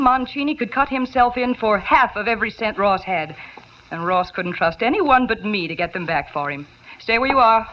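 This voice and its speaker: An actress playing a scheming, hard-boiled two-timing moll, sharp-tongued and melodramatic, in 1950s radio drama style. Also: female voice, on the high side, natural voice. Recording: muffled, noisy vintage radio recording.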